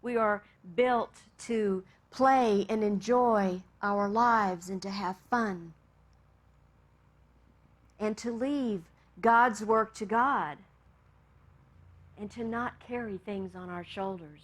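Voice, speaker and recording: A woman minister from Texas, leading a meditation and then giving a gentle, storytelling sermon with amused asides. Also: mid-range voice, slow.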